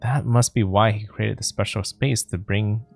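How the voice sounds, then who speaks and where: A man's voice: on the low side, fairly even intonation, moderate pace, vocal fry, faint music behind. A man giving casual let's play commentary as a game streamer.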